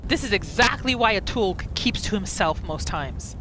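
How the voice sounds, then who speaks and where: A woman's voice: medium pitch, expressive, moderate pace, fairly bright tone, a little hiss or hum. A woman gaming host casually narrating her roleplay playthrough in the third person, as a voice-over.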